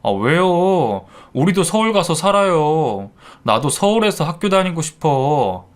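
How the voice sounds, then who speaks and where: A man's voice: fairly high pitch, big pitch swings, measured pace. A man voicing a young country boy pleading with his mother. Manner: pleading, whiny.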